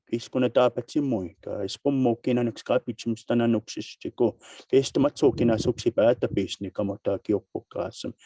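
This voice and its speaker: A man gives a spoken invocation to open a gathering. He is hoarse from a cold, so his voice comes out raspy and mellow, and he talks haltingly with many fillers. He sounds dry and set back from a distant mic. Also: mid-range voice, no room sound. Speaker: opening speaker.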